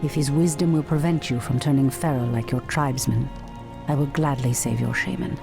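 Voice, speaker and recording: An actress playing a proud, resolute night elf priestess, determined and commanding, in a video game cutscene. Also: female voice, rumbling low, steady tone, a little fast, gravelly. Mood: composed. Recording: music behind.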